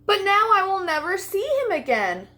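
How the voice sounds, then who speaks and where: A woman's voice: very high voice, very animated, moderate pace, pushed and bright, a little hiss or hum, pitched above the performer's own voice, exaggerated voice. A woman voicing a young female lamb in a children's storybook, curious and clingy, with an earnest, pleading manner. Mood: sad.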